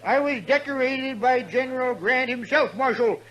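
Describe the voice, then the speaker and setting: A man's voice: very high-pitched, moderate pace, fairly bright tone, dull audio. An actor playing a pompous old American war veteran in a 1950s radio western, blustering and stammering as he boasts. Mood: indignant boasting. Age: older. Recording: old radio recording, saloon crowd behind.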